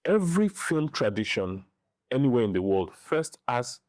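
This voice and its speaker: A middle-aged man with a Nigerian accent giving opinions in a podcast interview, speaking deliberately and repeating phrases for emphasis. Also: mid-range voice, big pitch swings, a little mellow, no echo. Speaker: interview guest, film director and writer.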